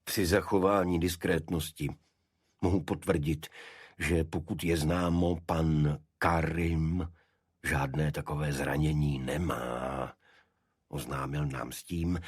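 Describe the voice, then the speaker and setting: Deep raspy guy narrating a mystery audiobook, dramatic n measured, super dry sound. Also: a little mellow, no echo. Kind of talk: scripted detective story narration.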